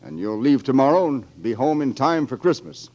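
An actor playing a brisk, authoritative military officer who speaks matter-of-factly, in 1940s radio acting. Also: male voice, medium pitch, very animated, darkish tone, lightly acted. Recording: dull lo-fi old radio recording.

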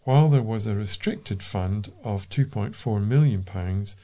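Mellow, low-voiced man reading news. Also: unhurried. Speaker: volunteer newsreader. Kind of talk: read-aloud local newspaper news.

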